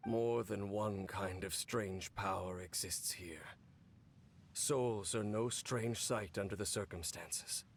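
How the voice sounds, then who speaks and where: A man playing a stoic, weary knight captain in a video game, speaking solemnly with a restrained, measured, breathy delivery. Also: lowish voice, slight background noise. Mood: grave, weary. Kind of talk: video game character acting.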